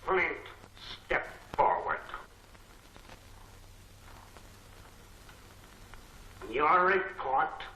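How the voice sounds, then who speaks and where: An actor playing a cold, imperious unseen crime lord in a 1940s film serial, with a commanding, deliberate delivery. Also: male voice, fairly high pitch, bright, forceful tone. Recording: echoey, faintly noisy 1940s film soundtrack.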